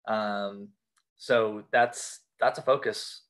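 An American man with a fairly low voice and little movement in pitch. He chats casually as a guest in an interview, circling back over his phrases in a halting way. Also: fairly even intonation, fairly bright tone. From United States. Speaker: podcast guest, sports card collector.

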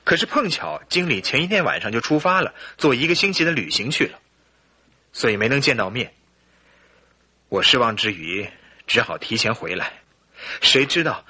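An actor playing a suspicious, anguished husband in a radio drama, with a husky, earnest and theatrical delivery. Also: male voice, a little high, pushed and bright, exaggerated voice. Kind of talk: Mandarin radio drama acting and storytelling.

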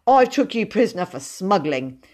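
A woman's voice: mid-range voice, sing-song, moderate pace, pitched above the performer's own voice. A woman voicing an earnest, proud and stubborn little boy in an audiobook, with dogged, childish, run-on speech. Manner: dogged, breathless run-on boasting, childish grammar. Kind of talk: audiobook character voice.

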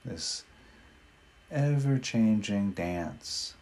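A man leading a guided meditation in a gentle, unhurried manner with long pauses between phrases. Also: fairly low pitch, lively intonation.

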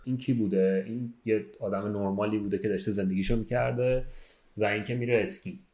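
A low-pitched man chats in a roomy, echoing space. He tells stories in a casual, conversational way, often checking that the listener follows. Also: fairly even intonation, a little mellow. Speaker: podcast speaker telling a story. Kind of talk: casual chat, storytelling.

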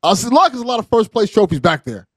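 A man's voice: sits high, big pitch swings, quick pace, slightly rough. A man hosting a casual interview, asking curious questions in an informal, impressed way. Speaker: podcast host.

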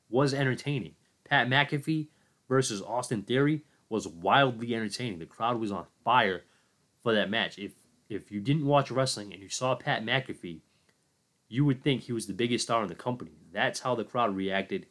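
A man's voice: medium pitch, moderate pace, a bit bright. A man giving an enthusiastic, opinionated solo recap of a wrestling event, talking casually with some fillers. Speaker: wrestling commentator.

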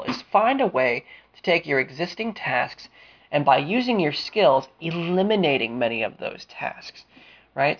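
Man, podcast host, gravelly edge, slightly muffled sound, smooth, sniffly with a cold. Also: on the high side. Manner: deliberate and explanatory, with frequent fillers. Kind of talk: solo career-advice podcast monologue.

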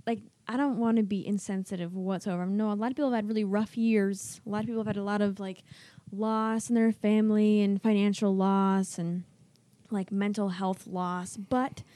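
A woman's voice: medium pitch, moderate pace, mellow, no room sound. A young woman in casual podcast chat, informal and a little hesitant. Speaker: podcast co-host.

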